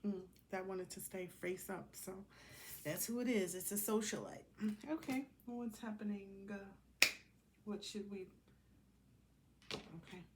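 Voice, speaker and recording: A woman with a medium-pitched voice hosts an off-the-cuff tarot reading. She speaks colloquially, thinking aloud and addressing the cards as much as her listeners. The recording carries noticeable background noise and small clicks. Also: unhurried, pauses often, darkish tone. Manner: colloquial, talks to herself and the cards. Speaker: tarot reader hosting a collective reading. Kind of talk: casual improvised tarot reading.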